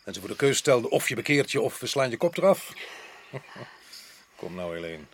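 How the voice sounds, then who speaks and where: An actor playing a sardonic, outspoken atheist colonial official in a radio play, mocking and argumentative. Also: male voice, medium pitch, very animated, normal speed, a little hiss or hum, close to an everyday voice.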